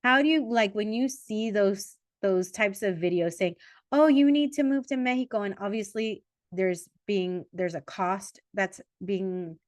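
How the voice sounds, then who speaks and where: A woman in her forties hosting a podcast in a relaxed interview chat, talking with quick asides and self-corrections. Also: medium pitch, no echo, clean studio sound. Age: 40s. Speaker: podcast host.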